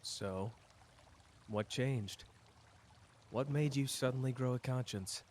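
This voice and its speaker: A man playing a powerful, cocky but wounded sorcerer in an intimate audio roleplay, talking casually and teasingly straight to the listener with pauses. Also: medium pitch, darkish tone, a little hiss or hum, natural voice. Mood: wry, probing.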